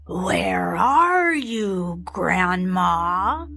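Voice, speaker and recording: A woman voicing a sly, coaxing wolf in a slow storybook reading for English learners. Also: fairly low pitch, expressive, gravelly, fairly bright tone, faint music behind, full character voice. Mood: sweetly deceitful. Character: sly big bad wolf, coaxing and deceitful.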